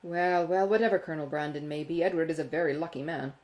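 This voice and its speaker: A woman voicing a self-important, money-minded gentleman in a Regency audiobook, exclaiming and confiding in a pompous manner. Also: sits low, steady tone, fast, pitched below the performer's own voice, close to an everyday voice. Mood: confidential, pompous. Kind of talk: acted audiobook dialogue from a Regency novel.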